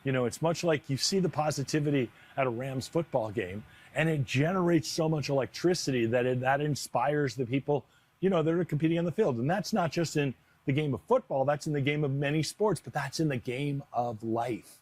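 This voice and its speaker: A man's voice: on the high side, brisk, a little mellow. American man giving conversational interview answers. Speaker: stadium announcer being interviewed.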